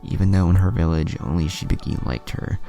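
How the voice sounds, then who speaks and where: A man's voice: sits low, fairly quick, gravelly, mellow. A man narrating anime fan fiction as a storyteller.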